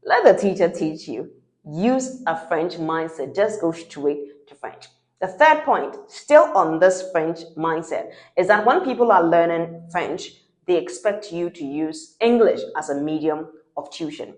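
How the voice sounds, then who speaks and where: Low-voiced Ghanaian woman teaching French. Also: very animated, measured pace, a little mellow. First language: Fante. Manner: patient, repeats examples, checks in with "right?". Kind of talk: explanatory teaching talk. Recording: roomy echo.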